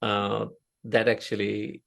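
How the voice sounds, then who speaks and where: A middle-aged man with an Indian accent, a native Oriya speaker, talks through his career in a casual interview. His low, gravelly voice is halting, full of fillers and restarts. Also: completely flat delivery, a bit bright. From India (Odisha). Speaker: interview guest, software engineer.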